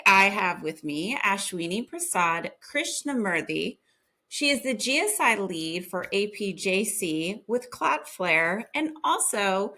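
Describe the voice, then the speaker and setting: A woman hosts a video call interview with an enthusiastic, upbeat delivery. Her voice is bright and forward, at a middle pitch. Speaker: host, community leader.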